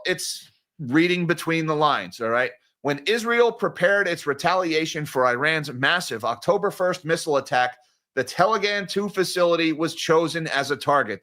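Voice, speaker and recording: Brief: male stream host, high and bright voice, ranting and exasperated. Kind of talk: live-stream news commentary.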